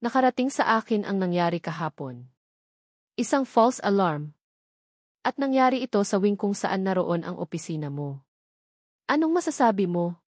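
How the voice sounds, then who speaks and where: A woman's voice: medium pitch, sing-song, normal speed, long pauses, no room sound, clean studio sound. A woman voicing a stern, authoritative female boss in an office romance story. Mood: stern, demanding.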